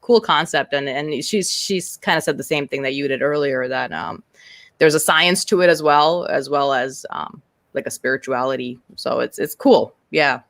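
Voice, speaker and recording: A woman's voice: extremely low pitch, moderate pace, fairly bright tone, dry recording. Frank, candid woman guest in dry sound. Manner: frank and candid, swears freely, many fillers and restarts. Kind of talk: casual interview chat.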